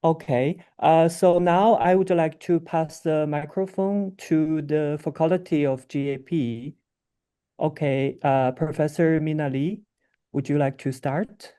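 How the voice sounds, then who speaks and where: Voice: medium pitch, steady tone, slow, mellow, clean tone. A moderator formally opening an online briefing and handing over to the speakers. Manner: formal, announcing. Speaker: session moderator.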